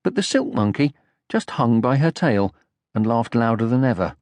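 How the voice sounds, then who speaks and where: A man narrating a children's audiobook from a script in an expressive storytelling style. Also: mid-range voice, normal speed, no room sound.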